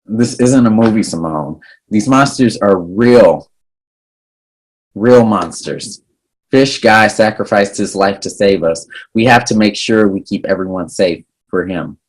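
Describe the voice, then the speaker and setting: An earnest, worried teenage boy hero, read at a table read. The voice is very low and husky. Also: a little slow, low-quality recording.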